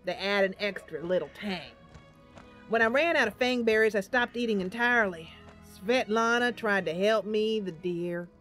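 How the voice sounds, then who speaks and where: A woman's voice: mid-range voice, normal speed, fairly bright tone, close to an everyday voice. A woman voicing an eccentric old male herbalist in a game roleplay, rambling in a folksy, theatrical way. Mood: rueful, confiding. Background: game music.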